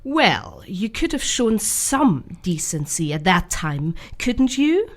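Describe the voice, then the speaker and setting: Woman acting a haughty, bitter moorland lady, slow and deliberate. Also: mid-range voice, very animated, exaggerated voice. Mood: scornful, reproachful. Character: haughty, bitter Catherine of the moors, scornful young woman. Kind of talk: radio drama acting.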